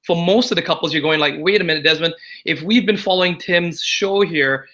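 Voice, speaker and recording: A man's voice: a little high, fairly quick, sharp tone. A man from Singapore in his forties, talking as a guest in an interview in a chatty, confiding way, often checking in with "right?" and "you know". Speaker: guest entrepreneur. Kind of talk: casual interview talk.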